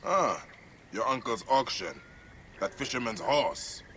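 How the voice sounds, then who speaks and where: An actor playing a stern, determined warrior investigator in a video game, questioning people in a terse, no-nonsense way. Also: male voice, medium pitch, big pitch swings, slow pace, a bit bright, slight background noise, exaggerated voice. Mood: wry, pressing. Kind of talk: video game cutscene acting. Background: music.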